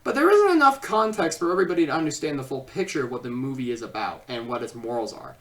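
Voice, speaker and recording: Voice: mid-range voice, lively intonation, quick pace. Film reviewer speaking far from the mic. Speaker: video reviewer and host. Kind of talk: informal scripted film review with ad-libbed asides.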